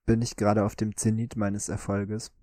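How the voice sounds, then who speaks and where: A man co-hosting a podcast, chatting casually through a guessing game and often pausing on drawn-out fillers. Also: lowish voice, monotone, mellow, no echo, clean studio sound. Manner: hesitant, many drawn-out fillers. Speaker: podcast co-host.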